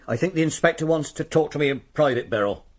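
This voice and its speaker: An actor playing a shifty, self-justifying uncle in a radio drama, defensive and grudging. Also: male voice, fairly high pitch, fast, natural voice. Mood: defensive, resentful.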